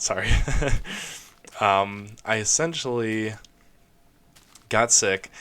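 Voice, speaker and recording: A man's voice: on the low side, fairly bright tone. Young man with a scratchy throat. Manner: rambling, many fillers and restarts. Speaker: gaming video creator. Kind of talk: casual let's play commentary.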